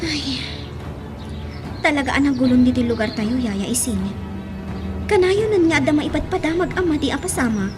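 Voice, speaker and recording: A woman's voice: high voice, animated, moderate pace, pauses often, music in the background. A woman playing a sweet, worried young lady in an Ilocano radio drama.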